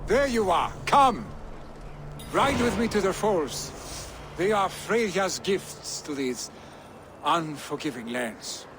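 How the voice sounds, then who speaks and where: A brash young lord of a Norse clan, boasting with flippant, theatrical flair. His voice sits fairly high and swings widely as he takes his time, in a video game drama with clearly noisy ambience behind him. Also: very animated, slow pace, full character voice. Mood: hearty, urgent. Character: brash, carefree Norse warrior, jarl's son.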